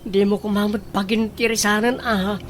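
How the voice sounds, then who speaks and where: A man's voice: sits high, little pitch movement, normal speed, close to an everyday voice. A man playing an exasperated but doting husband who fusses and protests over his precious rooster, in a comedic radio drama. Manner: fussy, questioning, protesting.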